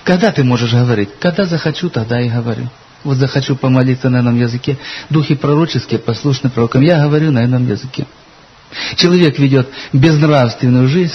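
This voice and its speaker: Earnest preacher man, muffled recording. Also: mid-range voice. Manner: earnest, addresses listeners as brothers and sisters. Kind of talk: sermon with anecdotes and scripture reading. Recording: dull lo-fi recording with faint hiss.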